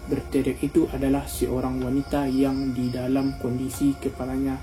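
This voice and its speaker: Dark-voiced Indonesian man narrating crime. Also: medium pitch, completely flat delivery, moderate pace, soft background music. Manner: slangy, addresses viewers directly. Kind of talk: casual true crime narration.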